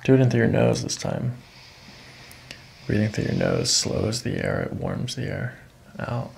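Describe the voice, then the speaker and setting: Male chiropractor, middle-aged, very creaky voice, lively pitch swings, calm reassuring cues in a treatment room. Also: a little slow, a little hiss or hum. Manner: soothing, reassuring short instructions. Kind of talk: hands-on patient coaching during treatment, ASMR. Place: small carpeted treatment office, indoors. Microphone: microphone on boom stand nearby.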